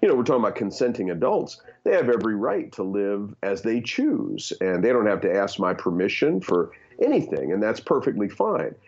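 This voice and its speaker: A man's voice: lowish voice, sing-song, fairly quick, few pauses, darkish tone, breathy edge, dull audio. A man in his fifties giving candid opinions in conversation, earnest, with many fillers and restarts. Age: 50s. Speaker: guest.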